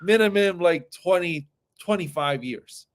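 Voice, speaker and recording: A man's voice: high voice, animated, a little slow. A man in a casual discussion show who speaks earnestly, repeats phrases and keeps checking in with "right?". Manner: earnest, repeats himself, many "right?" tags.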